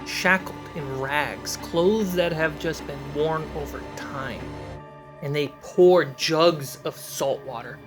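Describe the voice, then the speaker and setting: A game master narrating a fantasy tabletop adventure in a measured way, pausing between short atmospheric phrases. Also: medium pitch. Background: cinematic background music.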